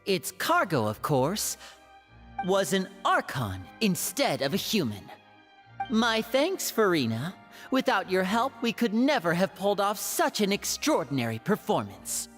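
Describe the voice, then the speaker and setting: A suave young magician from a video game with animated, swinging intonation. He is courteous and polished like a showman, his voice ringing in a large hall over music. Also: high-pitched, measured pace, exaggerated voice. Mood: proud, courteous. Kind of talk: video game character acting. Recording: long hall reverb, music behind.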